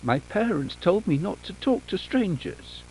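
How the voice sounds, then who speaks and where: An anxious, trusting young boy in a horror storybook , played by a man. The voice is childlike and theatrical, raised in pitch and very changeable, with faint noise behind it. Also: sing-song, a little slow, darkish tone, exaggerated voice, poor audio. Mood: wary.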